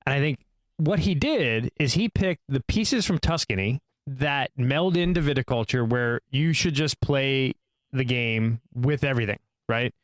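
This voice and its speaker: Smooth-voiced man making a counterargument. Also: medium pitch, dry recording. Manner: argumentative, laying out a counterpoint with quick explanations. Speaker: podcast co-host. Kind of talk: casual discussion.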